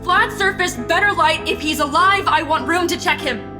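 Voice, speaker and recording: An actress playing a practical, take-charge amateur sleuth in an audio drama, speaking directly with dry wit. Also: female voice, extremely high pitch, moderate pace, music in the background. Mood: focused, urgent.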